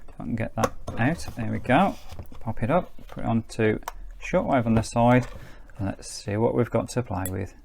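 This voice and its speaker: A man's voice: mid-range voice, normal speed, creaky, a little mellow, slight background noise. A man giving a casual hands-on gadget review, chatty and understated as he talks through what he is doing. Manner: chatty, understated, thinking aloud while working. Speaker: product reviewer.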